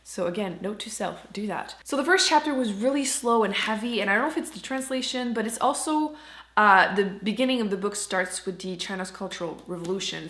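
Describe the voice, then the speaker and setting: A woman's voice: mid-range voice, fairly bright tone. Chatty woman vlogger in an echoey room. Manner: chatty, informal, emphatic on key words. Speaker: book reviewer and channel host. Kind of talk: casual chatty book vlog, talking to camera. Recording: roomy indoor echo.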